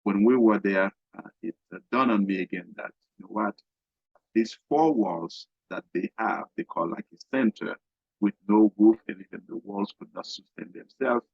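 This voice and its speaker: A middle-aged man from Haiti, a guest business coach talking haltingly in an interview, with many fillers and restarts. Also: fairly low pitch, husky, no room sound. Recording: dull, dry lo-fi sound.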